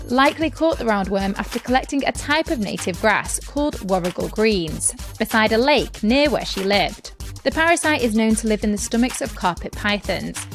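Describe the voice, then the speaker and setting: A woman presenting scripted news as a podcast host. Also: a little high.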